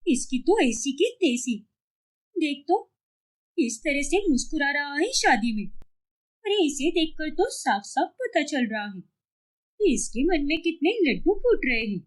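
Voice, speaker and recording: A woman's voice: high voice, very animated, unhurried. A woman voicing a stubborn, lovestruck young woman with a whiny, dramatic manner in a cartoon story. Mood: indignant. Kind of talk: cartoon moral story dialogue.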